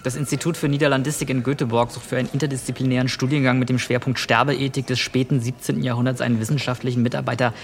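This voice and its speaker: A man's voice: medium pitch, level tone, rapid-fire, few pauses, a little hiss or hum, close to an everyday voice. An actor playing a matter-of-fact, doubting male academic in radio play dialogue. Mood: dry.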